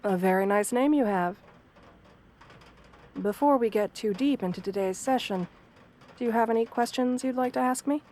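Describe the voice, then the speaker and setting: A woman voicing a sweet, professional wolf-girl therapist in a soft-spoken ASMR roleplay, speaking in a gentle, measured, reassuring way. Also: fairly high pitch, close to an everyday voice. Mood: polite, welcoming.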